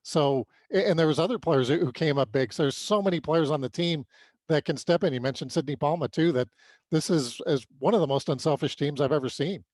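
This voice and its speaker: A man's voice: fairly high pitch, fairly quick, a little mellow, dry recording. A man co-hosting a sports podcast with conversational commentary, stammering a little over his words.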